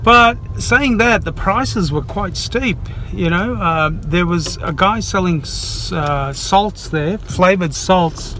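A man's voice: high-pitched, slow pace, few pauses, sharp tone, slight background noise. A man chatting casually and informally to his viewers as a vlogger, with the odd mild swear. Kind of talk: casual vlog chat to viewers.

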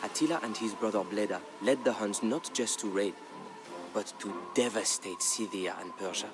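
A man narrating a historical tale as a dramatic scripted story, in a measured, grave storyteller's delivery. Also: fairly low pitch. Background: background music.

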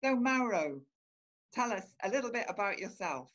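Woman interviewer, gentle prompting, dull lo-fi call sound. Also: mid-range voice, big pitch swings, normal speed.

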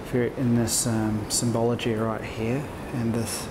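A man giving an explanatory commentary on location, speaking in a halting, deliberate way into a handheld camera as he points things out. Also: mid-range voice, little pitch movement. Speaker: vlogger narrating his own walking video. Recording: noisy handheld recording, city traffic and ambience. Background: street and ambient noise.

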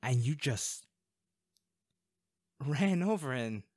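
An actor playing a protective, worried male bodyguard in an intimate, dramatic audio roleplay, with halting, emotional delivery. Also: medium pitch, lively intonation, no echo, clean studio sound. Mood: stern, restrained.

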